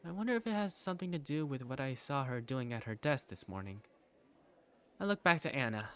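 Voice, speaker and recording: A man voicing a curious, self-doubting high school student who narrates a visual novel fandub in the first person. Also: lively intonation, brisk, clean tone, close to an everyday voice. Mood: reflective.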